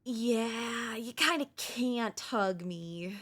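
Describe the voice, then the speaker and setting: A woman's voice: a little high, expressive, very slow, a bit bright, full character voice. A woman voicing a cute, affectionate young ghost girl in an intimate ASMR audio roleplay, casually frank and hesitant. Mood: sheepish. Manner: casual, hesitant, matter-of-fact about grim things. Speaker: voice actor.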